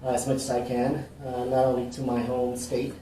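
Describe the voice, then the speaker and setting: A man's voice: mid-range voice, moderate pace, background noise. A man from Mexico answering interview questions, talking easily with lots of fillers and restarts. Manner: relaxed, rambling with many fillers and restarts. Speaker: realtor. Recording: roomy, echoey, mic at a distance.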